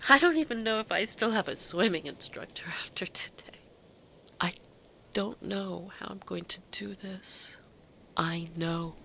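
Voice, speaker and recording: A raw, grieving mother, slowly confessing. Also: mid-range voice, vocal fry, a little hiss or hum, exaggerated voice, low-quality recording. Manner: raw, confessional, swearing, swinging from hushed to intense. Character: grieving, self-blaming bereaved mother, fiercely determined. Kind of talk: dramatic monologue in an audio play.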